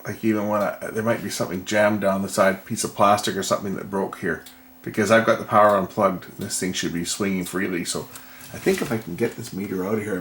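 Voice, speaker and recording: A man giving casual hands-on repair commentary, thinking aloud in a tentative, unhurried way. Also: sits low, fairly bright tone. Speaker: repair vlogger. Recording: noticeable background noise.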